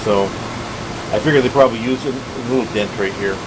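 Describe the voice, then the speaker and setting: A man with a medium-pitched voice talks through a hands-on gadget overview like a hobbyist. His delivery is casual and plain-spoken, wandering and halting as he goes. A noticeable steady hiss and hum sits behind his voice. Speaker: radio collector and video host.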